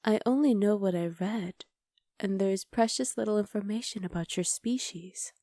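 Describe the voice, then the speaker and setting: A woman playing a haughty, menacing witch conqueror in an ASMR roleplay, speaking in soft, measured, formal sentences with a hushed, breathy delivery. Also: mid-range voice, no echo, clean studio sound, natural voice. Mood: coaxing, menacing. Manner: imperious, measured, formal diction.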